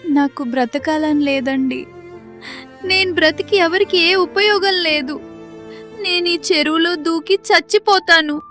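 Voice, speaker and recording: Very high, tearful young woman acted. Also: measured pace, a bit bright. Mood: hopeless, tearful. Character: despairing, weeping young daughter-in-law. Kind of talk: melodramatic cartoon story dialogue. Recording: music under the voice.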